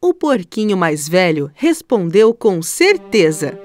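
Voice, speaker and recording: Lively woman narrating a children's story. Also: mid-range voice, takes it slowly, soft background music.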